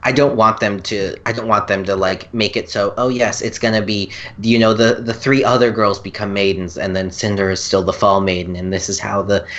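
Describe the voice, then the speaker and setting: A woman's voice: very deep voice, steady tone, fairly quick, hardly any pauses, a bit bright. Woman rambling earnestly, slightly muffled sound. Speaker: podcast co-host or guest. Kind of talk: casual fan discussion.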